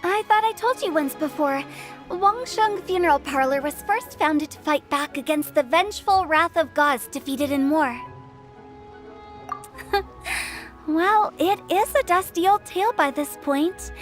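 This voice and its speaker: A woman's voice: high voice, unhurried. A woman voicing a mischievous, theatrical young funeral director in a video game, teasing and wordy as she talks. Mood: breezy, explaining. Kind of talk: video game character dialogue. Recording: game music behind.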